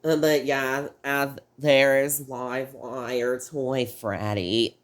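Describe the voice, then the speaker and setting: Slow-talking male reviewer, sick and slightly off-sounding, rambling and halting. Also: mid-range voice, steady tone. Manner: halting, rambling, frequent restarts. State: sick, voice a little off. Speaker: toy review host. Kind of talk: casual video review.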